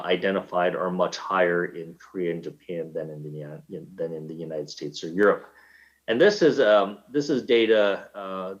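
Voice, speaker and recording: Male physician presenter, lowish voice, measured with frequent fillers, muffled lo-fi audio. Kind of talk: medical conference talk.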